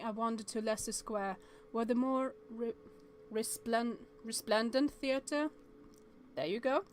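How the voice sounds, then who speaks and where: A woman streaming a game with casual, expressive commentary, thinking aloud and reading on-screen text as she goes. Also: fairly high pitch, slight background noise.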